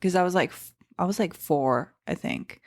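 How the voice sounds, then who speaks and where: A woman in her thirties who grew up in Seattle after a childhood move from Iran talks freely as a podcast guest. Her voice sits a little low. She rambles through stories with plenty of fillers, "like"s and restarts. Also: normal speed. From Iran, raised in Seattle. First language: Persian. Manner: chatty storyteller with many fillers and restarts. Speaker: stand-up comedian, podcast guest. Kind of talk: casual interview chat.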